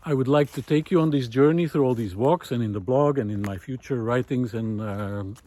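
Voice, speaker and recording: A man with a mid-pitched voice shares his reflections aloud as he walks outdoors. His delivery is unscripted and thoughtful. He hesitates often, pausing to find the right words before carrying on. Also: dark tone. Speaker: host of a walking audio diary, former teacher. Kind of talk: unscripted reflective spoken monologue recorded on a walk. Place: outdoors, walking.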